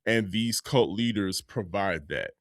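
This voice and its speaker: Low-voiced man co-hosting a podcast. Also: lively intonation, a little slow, fairly bright tone, dry recording, studio quality. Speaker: podcast co-host. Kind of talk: casual podcast talk.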